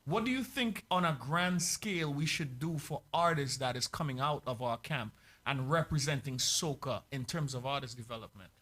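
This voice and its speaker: A man's voice: medium pitch, moderate pace, a bit bright. A man interviewing a guest, asking long earnest questions with emphasis. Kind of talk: radio interview.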